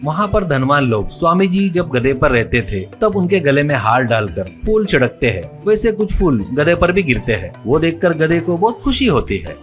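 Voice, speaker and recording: A man tells a moral tale in a steady, even storytelling voice that sits a little high. Soft music plays behind his narration.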